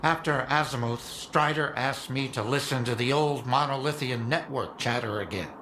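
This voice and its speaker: A man's voice: mid-range voice, moderate pace, sharp tone, a little hiss or hum, natural voice. An actor playing a weary older man who runs a radio from a bunker, in video game dialogue. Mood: explaining. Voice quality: gravelly. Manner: calling out call signs over the radio.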